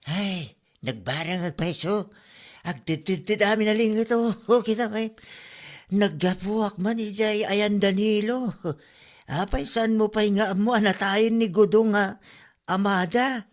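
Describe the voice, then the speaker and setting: A man's voice: high-pitched, measured pace. A man playing a befuddled, querulous old village uncle in an Ilocano-accented comedy audio drama. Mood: confused.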